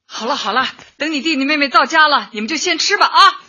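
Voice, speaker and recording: A woman's voice: sits high, lively intonation, fast, bright, forceful tone, lo-fi sound, full character voice. An actress playing a kindly, busy old woman community leader in a radio drama, urgent and reassuring as she repeats her words. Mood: reassuring, hurried. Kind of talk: Chinese radio drama acting.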